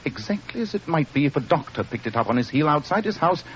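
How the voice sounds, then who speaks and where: An actor playing an assured, precise gentleman detective in a radio drama. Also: fairly high pitch, very animated. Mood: assured, analytical. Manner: crisp, deliberate, deductive. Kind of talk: old radio drama acting. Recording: muffled old radio recording, faint noise.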